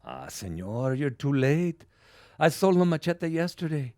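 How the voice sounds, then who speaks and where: A man's voice: fairly high pitch, big pitch swings, a little slow, darkish tone, no room sound, clean studio sound. An actor playing a friendly, sly old male street peddler in an audio drama, with courteous, confiding patter. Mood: regretful, confiding.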